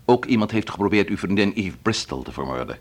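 Casting: unflappable police detective in a radio play, matter-of-fact, slightly low and husky. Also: male voice, lively intonation. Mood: probing, firm. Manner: measured, courteous, matter-of-fact questioning. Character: composed, probing English police inspector.

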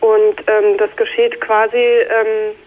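A woman of about thirty calling a phone-in with a slight cold, explaining her story carefully in a measured way. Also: mid-range voice, level tone, a little hiss or hum. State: has a cold, slightly scratchy voice. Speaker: phone-in caller. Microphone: telephone. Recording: tinny narrow phone line.

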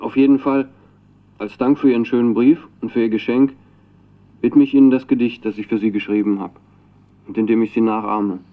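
A man's voice: mid-range voice, fairly even intonation, fairly quick. An actor playing an earnest, naive young man speaking a ceremonious recorded message in a radio drama, through a narrow tape filter. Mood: earnest, eager. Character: earnest young Chilean man, devoted admirer of a poet.

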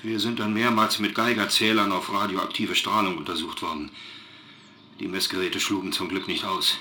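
Sharp-voiced, earnest male eyewitness engineer. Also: sits low, steady tone. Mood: shaken, earnest. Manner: earnest, halting, precise recounting. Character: shaken but factual German engineer, male eyewitness by phone. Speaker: eyewitness interviewee. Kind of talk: radio drama news interview. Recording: noisy live news link.

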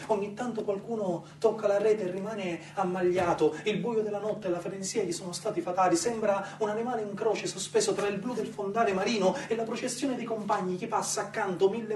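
An actor playing an entranced storyteller in a poetic theatrical monologue, lyrical and full of incantatory repetition. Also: male voice, sits high, darkish tone, a little hiss or hum, close to an everyday voice. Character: rapt storyteller visitor, dreamily entranced by swimming tuna. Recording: echoey room, distant mic, music behind. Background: clear music.

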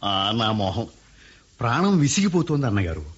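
A husky scheming landowner. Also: male voice, medium pitch, very animated, moderate pace. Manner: scheming, persuasive. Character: scheming older landowner plotting over family land. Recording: dull lo-fi radio recording.

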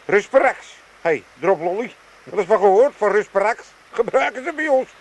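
A man's voice: high-pitched, lively intonation, brisk. An older actor playing a grumbling, sarcastic, scheming old father in a comic radio play. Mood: sarcastic, scornful. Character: grumpy, scheming old Amsterdam father from a retirement home.

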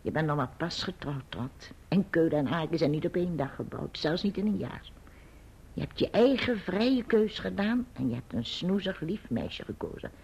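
A woman playing a grim but kindly old great-aunt in a radio play, speaking deliberately and admonishingly in a husky voice. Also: lowish voice, animated, a little mellow, heavily acted. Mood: earnest, tender. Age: older. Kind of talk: Dutch radio play acting. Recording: dull, muffled radio recording.

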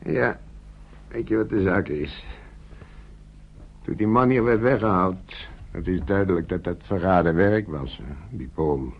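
Gravelly, low older man. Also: unhurried, pauses often, a little hiss or hum. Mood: worried, wary. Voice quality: gravelly, husky. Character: worried, plain-spoken older man, cautious father-in-law. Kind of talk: naturalistic radio play acting. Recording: muffled old radio play recording.

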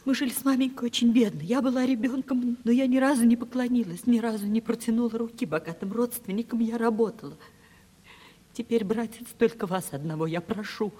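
An actress in a radio play voicing a poor, honest young woman, ashamed and pleading, with breathless phrases and trembling pauses. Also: a little high, mellow, lightly acted. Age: young adult. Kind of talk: theatrical Russian radio play acting. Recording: reverberant 1970s radio drama recording.

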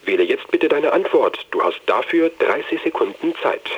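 A man's voice: medium pitch, very animated, moderate pace, husky. A man voicing an automated telephone quiz announcer, giving callers scripted instructions over a phone line. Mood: instructive. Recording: narrow, muddy telephone line sound.

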